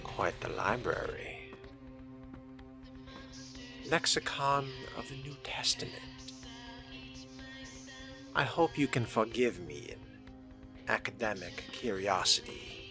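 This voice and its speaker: Casting: man as a dryly curious, bookish vampire for game roleplay, deep and unhurried, measured and formal, music behind. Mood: dry curiosity. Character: brooding, scholarly male vampire fledgling, dryly curious. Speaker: player narrating in character. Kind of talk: in-character video game roleplay narration.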